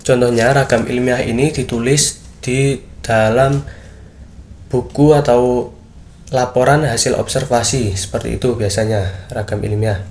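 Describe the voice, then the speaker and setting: A man's voice: medium pitch, steady tone, a little slow, pauses often, darkish tone. A teenage boy presenting a school lesson, explaining in an earnest, step-by-step way with a few restarts. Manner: earnest, with frequent fillers and restarts. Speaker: student presenter. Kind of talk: class presentation, explaining material.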